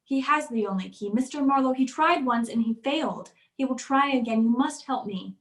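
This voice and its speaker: An actress plays an anxious wealthy wife in an old-style radio thriller. Her voice sits high and pleads earnestly for belief. The sound is noticeably roomy. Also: normal speed. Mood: grave, pleading. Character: anxious wealthy wife convinced her husband wants her dead. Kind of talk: old-time radio drama acting.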